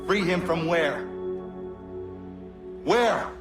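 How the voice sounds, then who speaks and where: An actor playing a determined young warrior in a video game, speaking in a stiff, formal and deliberate way. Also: male voice, a little high, over music. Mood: stern, accusing. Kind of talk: cinematic video game acting.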